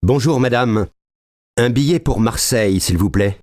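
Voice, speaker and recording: A man acting out a scripted dialogue for learners, speaking with slow, clearly spaced lines. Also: medium pitch, animated, a little mellow, no echo, clean studio sound.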